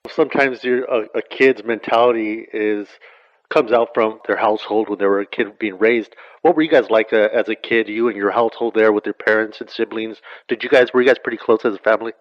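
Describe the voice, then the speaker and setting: A man hosting an interview who asks quick, rambling questions. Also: fairly even intonation, no echo. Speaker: interview host. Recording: dull, dry low-fidelity sound.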